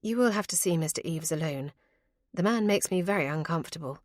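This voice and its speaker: A woman voicing an astute, caring, composed young gentlewoman in an audiobook, formal and gently firm. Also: lowish voice, expressive, brisk, no room sound, clean studio sound, close to an everyday voice. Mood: practical. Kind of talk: audiobook character voice.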